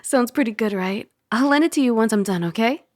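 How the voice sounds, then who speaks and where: A woman playing a caring, teasing young dragon girl roommate in a soft-spoken ASMR roleplay, gentle and conversational on a close mic. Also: on the high side, brisk, dry recording, lightly acted. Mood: inviting. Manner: gentle, playful, conversational, with sighs and hushed asides. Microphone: close microphone.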